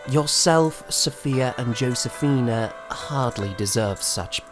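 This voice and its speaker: A man acts out a young gentleman composer in a period audio drama. He is earnest and awkwardly charming, speaking formally and slowly with small hesitations. His pitch sits in the middle, and faint music plays behind him. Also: lightly acted. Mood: warm, sincere. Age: young adult. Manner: formal, hesitant period speech with soft interjections.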